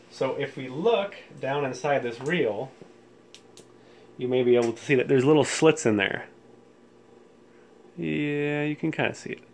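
A man hosting a casual how-to demonstration, explaining things informally, step by step. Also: mid-range voice. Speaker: tutorial host. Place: indoors, room with audio equipment. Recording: clear background room noise.

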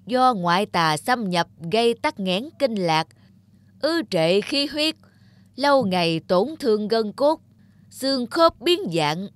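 A woman's voice: medium pitch, dry recording. A woman voicing a self-assured male royal physician in a cartoon, formal, learned and respectful. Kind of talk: cartoon voice acting.

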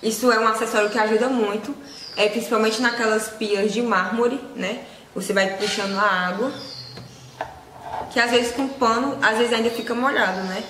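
A woman's voice: fairly high pitch, fairly even intonation, normal speed. A woman, casually presenting products to camera as a vlogger and seller. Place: small indoor room with shelves. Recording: echoey room with loud background noise.

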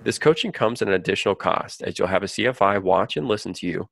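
A man's voice: on the low side, animated, quick pace, creaky, fairly bright tone, slight background noise. A man giving scripted, explanatory narration for a training video. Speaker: narrator of a flight training promo.

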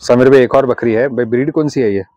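A man hosting a casual walkthrough of goats at an outdoor pen, asking quick questions and repeating the answers for his viewers. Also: medium pitch, steady tone, darkish tone, dry recording. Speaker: goat trader vlogger. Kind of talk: casual on-site livestock walkthrough. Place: outdoor rooftop goat pen. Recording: muffled phone audio with noise.

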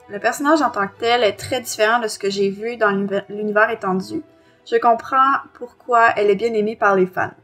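A woman's voice: on the high side, fairly bright tone. A woman with a Quebec French accent co-hosting a review podcast. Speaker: podcast co-host. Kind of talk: casual review discussion, partly reading aloud. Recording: music under the voice.

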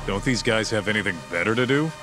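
An actor playing a cocky, wisecracking streetwise sidekick in a video game, talking in casual, slangy, foul-mouthed style. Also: male voice, fairly low pitch, fast talker, over music, lightly acted. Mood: curious, excited. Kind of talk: video game cutscene acting.

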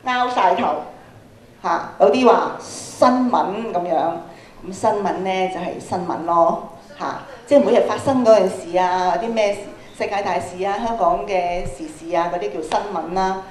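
A woman's voice: medium pitch, moderate pace, slight background noise. A middle-aged woman with a Hong Kong Cantonese accent, giving an interactive workshop lecture to students and putting questions to them as she projects to the hall. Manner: animated questioning, projecting to an audience. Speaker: workshop speaker, radio programme producer. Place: large school hall, on stage. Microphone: handheld microphone through PA, camera at a distance. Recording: echoey auditorium PA sound.

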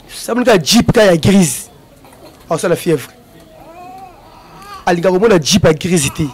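A man's voice: high voice, darkish tone. A man emceeing a gathering outdoors, urging the crowd to applaud. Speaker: event host with a microphone. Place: outdoor courtyard. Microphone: handheld microphone.

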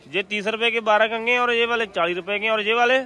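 High, bright-voiced man vlogging. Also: steady tone, brisk. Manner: repetitive, sales-like patter addressed to viewers. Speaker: market vlogger. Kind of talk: running commentary while asking street vendors prices. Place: busy outdoor street market.

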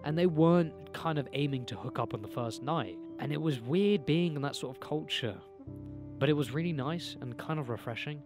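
A man's voice: on the high side, moderate pace, music in the background. A young man from England, talking candidly and rambling to a handheld camera outdoors in a field. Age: young adult. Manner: candid, rambling, swears and laughs often. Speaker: self-improvement vlogger. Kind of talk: casual talk to camera. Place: outdoors in a green field by a hedge. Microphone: handheld camera mic, close.

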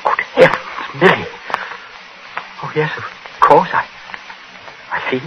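A man acting in a 1940s radio drama as a flustered army captain, a nervous gentleman who stammers and blusters. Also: fairly high pitch, animated, slow pace. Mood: shocked. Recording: noisy old radio recording.

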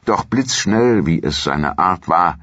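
Very deep, husky male documentary narrator. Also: expressive, slow, a bit bright, no echo, muffled recording. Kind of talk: scripted historical narration.

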